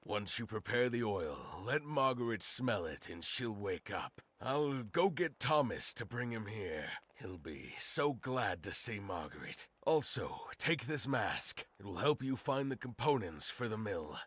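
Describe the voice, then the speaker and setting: A man's voice: on the low side, big pitch swings, measured pace, few pauses, fairly bright tone, breathy edge, heavily acted. A man playing an earnest, courteous leader of a werewolf pack in a video game cutscene. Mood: apologetic, instructive.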